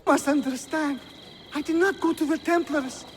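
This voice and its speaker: Very high-pitched, cowardly male informant. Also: steady tone, normal speed, a little hiss or hum. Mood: frightened, pleading. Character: cowardly, pleading male merchant informant, squirming and eager to appease. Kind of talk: dramatic video game acting.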